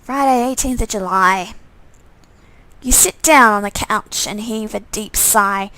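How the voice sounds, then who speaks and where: Lady reading story game narration out loud, bright voice, really dry close sound, trips on words sometimes. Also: mid-range voice, a little slow, no room sound, poor audio. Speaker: streamer reading a visual novel aloud. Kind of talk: live read-aloud narration with asides.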